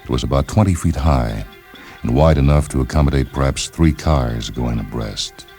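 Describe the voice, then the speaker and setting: A man narrating a science fiction short story in a measured, literary storytelling manner. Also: very deep voice, a little mellow. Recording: music behind the voice.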